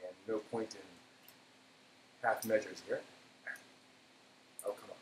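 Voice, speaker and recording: A man hosting a casual cooking live stream, thinking aloud in a halting way and often trailing off. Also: lowish voice, fairly even intonation. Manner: halting, self-talking, trailing off. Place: indoor kitchen. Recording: echoey room, background noise.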